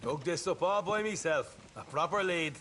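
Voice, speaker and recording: A man's voice: on the high side, expressive, normal speed, slight background noise. A man playing a cocky, eager young male outlaw in a video game, brash and boastful in manner. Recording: loud music behind the voice.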